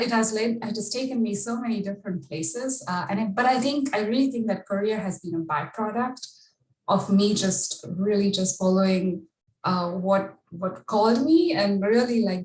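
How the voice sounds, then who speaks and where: A woman on a college panel who reflects in a rambling way on her working life and laughs easily. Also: mid-range voice. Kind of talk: panel discussion. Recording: roomy audio, far from mic.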